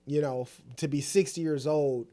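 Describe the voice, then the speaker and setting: A man's voice: fairly high pitch, fairly even intonation, normal speed, warm tone. A man in his late thirties co-hosting a family vlog in a casual, conversational style. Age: late 30s. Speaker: co-host of a couple's family vlog.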